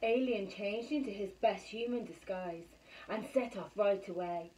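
A woman in her thirties reading a picture book aloud for children, expressive and full of exclamations and questions. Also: mid-range voice, unhurried, a little hiss or hum. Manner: animated, exclaiming, asking rhetorical questions. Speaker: primary school bedtime story reader. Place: small room at home. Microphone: camera a metre or two away. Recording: slightly distant phone camera recording.

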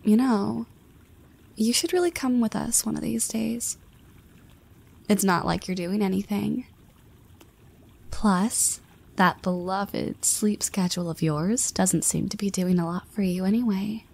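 A slow, gravelly teasing crush. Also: female voice, mid-range voice, a little mellow. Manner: playful teasing, half-whispered asides. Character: teasing confident young woman friend and crush. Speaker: voice actress. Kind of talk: scripted audio roleplay.

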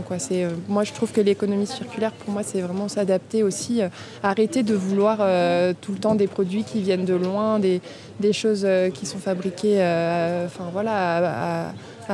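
A woman's voice: medium pitch, flat delivery, moderate pace, mellow. A young woman, co-manager of a restaurant, talking in a casual radio interview on an outdoor terrace, with many fillers and restarts. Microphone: table mic on stand.

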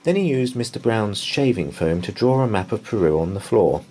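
A man reading a bedtime story aloud in an expressive, deliberate way. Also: on the low side. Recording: faint background noise.

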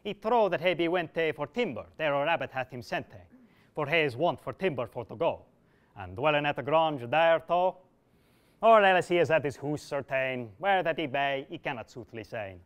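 A man's voice: fairly high pitch, lively intonation, moderate pace, highs cut off, natural voice. A man voicing a plain-spoken cloister monk. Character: male cloister monk, matter-of-fact and helpful.

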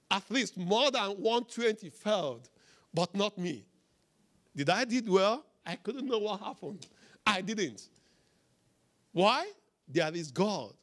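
A middle-aged man preaching a sermon as a pastor, emphatic and deliberate, in short repeated phrases into a handheld microphone. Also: sits high, a bit bright. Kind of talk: sermon with testimony and scripture. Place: church auditorium, on stage before a congregation.

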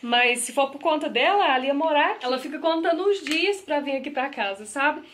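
A woman's voice: high voice, expressive, a little fast, slight background noise. A woman in her thirties chatting to her phone camera at home, friendly and talkative with frequent laughs. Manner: chatty, warm and affectionate, laughs often. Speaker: vlogger. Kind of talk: casual vlog chat to camera. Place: bright room at home, indoors. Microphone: phone camera held at arm's length.

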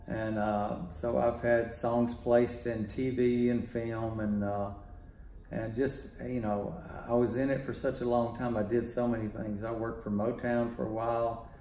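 A man's voice: medium pitch, measured pace, a little hiss or hum. Older texas guy, music producer, lots of uh and um, laid back, roomy home studio sound. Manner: relaxed, rambling with many fillers. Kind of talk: casual interview talk.

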